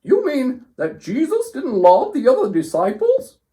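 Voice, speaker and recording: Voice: sits high, huge pitch swings, unhurried, warm tone, full character voice. A performer voicing an inquisitive, slightly prim female puppet co-host who asks questions and reacts with exaggeration in a scripted children's show. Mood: curious.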